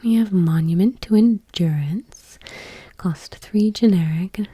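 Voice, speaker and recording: A woman doing ASMR, reading gently and unhurriedly in a breathy whisper, with casual asides. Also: mid-range voice, slow, no room sound. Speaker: ASMR host.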